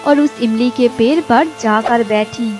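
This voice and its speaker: A woman giving scripted narration for a children's animated story. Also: on the high side, a little slow. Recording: music behind the narration.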